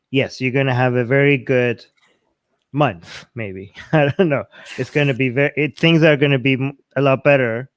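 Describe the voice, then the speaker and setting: A middle-aged man co-hosting a live Q&A chat, playful and self-mocking, halting with fillers. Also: mid-range voice, clear, resonant tone, no room sound, clean studio sound. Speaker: co-host.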